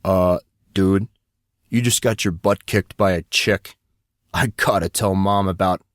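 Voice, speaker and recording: A man's voice: deep voice, fairly even intonation, unhurried, dry recording, clean studio sound. A man voicing a laid-back, teasing teenage boy with slangy, hesitant speech in an audiobook drama.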